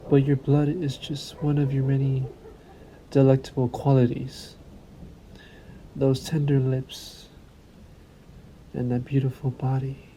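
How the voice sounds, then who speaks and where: A man playing a suave, sadistic vampire lord in an intimate audio roleplay, taunting the listener with silky, theatrical, deliberate phrasing. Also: mid-range voice, completely flat delivery, warm tone, slight background noise, poor audio. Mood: seductive, possessive.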